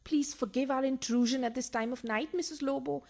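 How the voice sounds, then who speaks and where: An actress playing an exasperated mistress who poses as a fussy wife in a radio farce, bossy yet over-polite when cornered. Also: female voice, high-pitched, brisk, darkish tone. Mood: apologetic. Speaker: actor.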